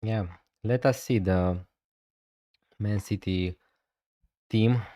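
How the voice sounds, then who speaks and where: Relaxed man streaming live. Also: lowish voice, slow pace, dry recording. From Romania. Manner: relaxed, hesitant, many fillers. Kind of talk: casual live stream chat.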